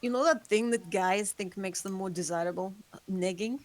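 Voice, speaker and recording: A woman's voice: medium pitch, normal speed, natural voice. A woman reading the part of an ageless female vampire, guarded and remorseful, in a table read. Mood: dry.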